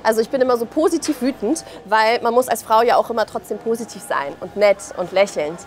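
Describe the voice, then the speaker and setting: A woman's voice: a little high, fairly quick, slight background noise. Emphatic, colloquial woman in interview. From Belarus. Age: 30s. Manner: emphatic, colloquial, acts out quoted remarks. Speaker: interview guest, author and journalist. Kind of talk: impassioned spoken interview answers.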